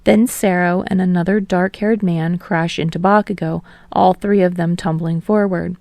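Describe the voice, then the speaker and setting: A woman's voice: fairly low pitch, fairly even intonation, moderate pace, vocal fry, darkish tone, dry recording. A woman reading scripted fiction as an audiobook narrator.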